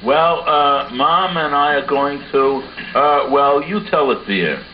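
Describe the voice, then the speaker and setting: A man's voice: fairly high pitch, slow. A man playing a proud, kindly father in an amateur puppet show. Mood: sheepish. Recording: muffled, echoey room with faint noise.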